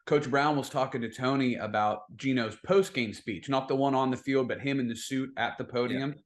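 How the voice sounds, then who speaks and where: Male, medium pitch, informal and emphatic, telling sports stories as a podcast guest. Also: moderate pace. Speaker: guest, football video production staffer. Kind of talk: casual sports chat, storytelling.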